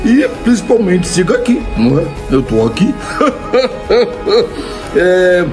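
Brazilian man in his 50s, high-pitched, chatty comic vlogger, close phone mic. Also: sing-song, slow pace, over music. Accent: Brazilian Portuguese. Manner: chatty, laughs at his own jokes, keeps adding "né?". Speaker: comedy content creator answering viewer questions. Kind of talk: casual Q&A monologue. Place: home room, indoors. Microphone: old phone camera, close framing.

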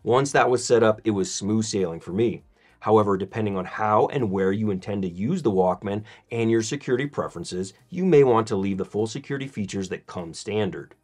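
A man presenting a scripted product review as narration, in a measured, methodical way. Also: lowish voice, a little mellow, breathy edge.

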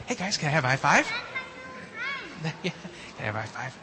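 A man's voice: on the high side, big pitch swings, fairly quick, a crowd in the background. A male pastor leading worship and chatting playfully with children, often stopping and restarting his phrases. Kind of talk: church service leading and children's sermon. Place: church sanctuary. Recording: church sanctuary mic with long hall reverb and faint background noise.

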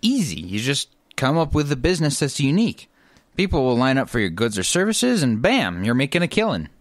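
A man's voice: medium pitch, sing-song, fairly quick, no echo, clean studio sound. A man acting in a family radio comedy as an eager, helpful brother full of inventive ideas. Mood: encouraging, playful.

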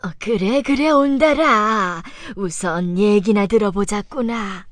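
A woman playing a poor, humble elderly mother in a children's folktale, speaking in a slow, halting, wary way. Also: medium pitch, dry recording, clean studio sound, close to an everyday voice. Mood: soothing. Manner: slow, halting old-person speech. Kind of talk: children's animated folktale acting.

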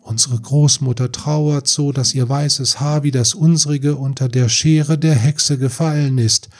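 A man's voice: mid-range voice, steady tone, unhurried, darkish tone, faint music behind, pitched above the performer's own voice, close to an everyday voice. A man voicing urgent mermaid sisters in a fairy tale audiobook, pleading insistently and hurriedly with their youngest sister.

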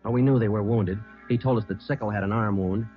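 An actor playing a tough, dry police detective who also narrates in 1950s radio drama, terse and matter-of-fact. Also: male voice, fairly low pitch, fairly even intonation, fast, faint music behind, lightly acted. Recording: muffled old radio recording.

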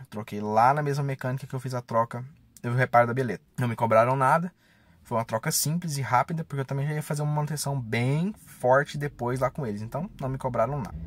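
A man's voice: medium pitch, breathy edge, dry recording. A man, chatting casually and slangily as a car vlogger. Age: 20s. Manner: informal, slangy, mildly profane. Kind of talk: casual vlog chat. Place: inside a parked car. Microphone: camera or phone at arm's length.

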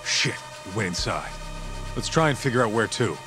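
An actor playing a cocky, wisecracking streetwise sidekick in a video game, talking in casual, slangy, foul-mouthed style. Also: male voice, lowish voice, animated, normal speed, over music, lightly acted. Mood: curious, excited. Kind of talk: video game cutscene acting.

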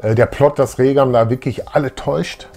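A man's voice: medium pitch, fairly even intonation, brisk, gravelly, darkish tone. A man in his thirties chatting casually and enthusiastically as co-host of a retro fan show. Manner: enthusiastic, colloquial. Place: small indoor room full of collectibles.

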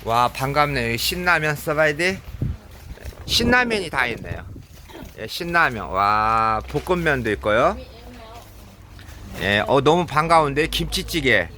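A man with a cold, chatting casually as he films himself shopping in a convenience store, filling pauses with "yes". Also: mid-range voice, sing-song, sharp tone, a little hiss or hum. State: has a cold. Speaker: vlogger. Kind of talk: casual running commentary while shopping.

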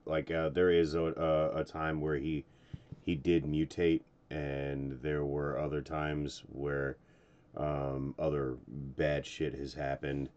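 A middle-aged man giving casual reaction commentary, musing haltingly with some mild swearing. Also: a bass voice, darkish tone, smooth, highs cut off. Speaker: reaction video host. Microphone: desk microphone close by.

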